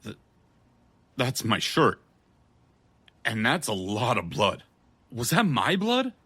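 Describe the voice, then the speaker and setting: Husky confused guy, disbelieving, swings up and down, audio drama. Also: mid-range voice, huge pitch swings, pushed and bright, heavily acted. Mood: shocked. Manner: halting, incredulous, plain-spoken. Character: bewildered, skeptical everyman refusing to believe he is undead.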